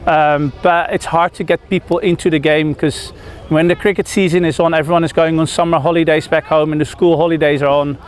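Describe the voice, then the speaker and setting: Cricketer from the Netherlands, thirties, a touch high-pitched, halting, full of ums and restarts, outdoor press scrum. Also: male voice, level tone. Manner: hesitant, lots of fillers and restarts. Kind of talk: press interview.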